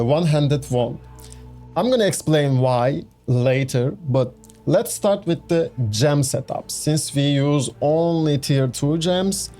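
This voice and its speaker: Young man, Turkish accent, gaming video narration, slow delivery, chatty fillers, faint background music. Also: fairly high pitch, dark tone. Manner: chatty, many fillers and restarts. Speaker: gaming YouTuber. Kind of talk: casual game build walkthrough.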